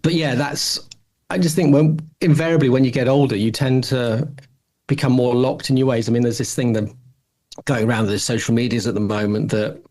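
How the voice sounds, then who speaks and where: A man of about fifty talks as a podcast host. He is casual and opinionated, with a teasing edge to his conversation and a voice of average pitch. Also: fairly even intonation, brisk, a little mellow. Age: late 40s or about 50. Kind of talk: casual discussion of television.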